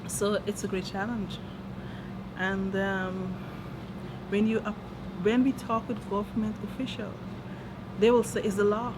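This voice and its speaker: A woman's voice: mid-range voice, little pitch movement, measured pace. A woman with a Guyanese accent, a village leader answering interview questions in a plainspoken, hesitant way. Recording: loud background noise.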